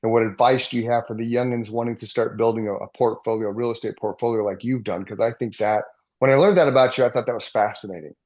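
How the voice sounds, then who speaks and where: A man's voice: mid-range voice, fairly even intonation, fast talker, darkish tone. A man in his fifties co-hosts a casual podcast for realtors. He talks in an upbeat, rambling way and fills his sentences with asides. His recording sounds slightly dull. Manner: upbeat, rambling, filler-heavy. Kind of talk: casual interview chat.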